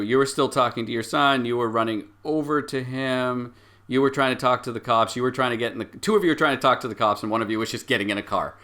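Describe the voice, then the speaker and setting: A man running a tabletop game as game master, improvising scenes and bantering in a jokey, animated way. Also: medium pitch.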